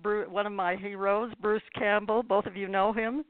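Smooth-voiced older woman hosting radio. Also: mid-range voice, fairly even intonation, moderate pace, no room sound. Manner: effusive, repeats phrases. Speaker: radio show host. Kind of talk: warm, appreciative interview hosting. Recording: dull lo-fi internet radio line.

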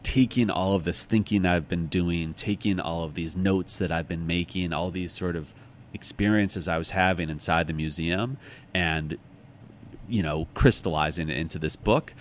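A man's voice: low-pitched, moderate pace, a little hiss or hum. An American man speaking reflectively as an interview guest, thoughtful and searching for his words. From United States, Chicago.

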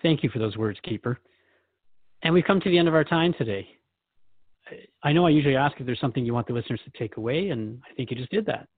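A man's voice: mid-range voice, lively intonation, fast talker, long pauses, no room sound, phone-quality sound. Earnest male radio host, dry close sound. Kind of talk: conversational interview with a spirit guide.

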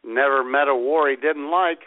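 A man's voice: mid-range voice, normal speed. A man co-hosting a radio show, making wry political quips in casual banter. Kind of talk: political comedy chat. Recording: phone-like lo-fi sound.

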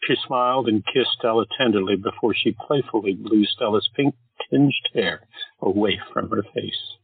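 A man narrating an audio drama in a measured storytelling delivery. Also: on the low side, gravelly, husky, no echo. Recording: tinny phone-like sound with faint music.

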